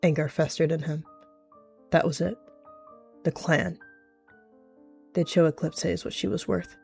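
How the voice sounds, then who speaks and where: Man narrating roleplay over clear music. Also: a little high, level tone, unhurried, darkish tone. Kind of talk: dramatic third-person narration in game roleplay.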